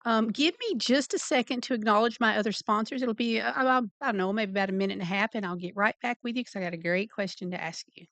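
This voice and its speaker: A woman's voice: mid-range voice, quick pace, few pauses, fairly bright tone, no room sound. Chatty woman in her fifties hosting. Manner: chatty and folksy. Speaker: talk show host. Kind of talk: casual interview chat.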